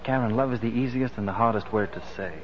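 An actor playing an ardent, teasing, suave suitor in a 1940s radio drama. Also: male voice, medium pitch, fast, lightly acted. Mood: passionate, intense. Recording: muffled 1940s radio broadcast with music.

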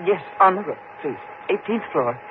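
A woman's voice: on the low side, expressive, moderate pace, phone-quality sound, noisy background, close to an everyday voice. An actress playing a frightened single woman in a 1950s radio suspense drama, tense and dramatic in her delivery. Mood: nervous, flustered. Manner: tense, dramatic, faltering when flustered.

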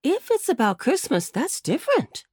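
A woman's voice: sits high, wildly swooping pitch, moderate pace, a little mellow, no room sound, studio quality, pitched above the performer's own voice. A woman voicing a fussy, motherly muskrat housekeeper lady in a storybook. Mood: caring.

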